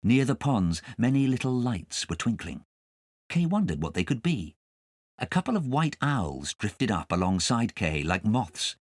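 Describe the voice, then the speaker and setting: A man narrating a storybook as a scripted audiobook, with dramatic, expressive storytelling. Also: fairly low pitch, measured pace, no echo, clean studio sound.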